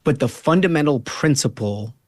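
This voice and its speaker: An American man giving conversational political commentary as a panelist, halting and reflective as he goes. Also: fairly high pitch, lively intonation, dry recording, clean studio sound. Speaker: podcast host on a panel.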